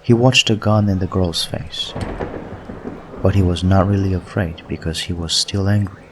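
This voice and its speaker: Male narrator, very deep voice, scripted audiobook storytelling, faint background noise. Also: moderate pace.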